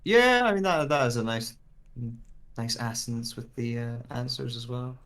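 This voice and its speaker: A man, a voice actor, casually discussing script readings and thinking aloud in animated fragments. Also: medium pitch, normal speed. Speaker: voice actor and writer.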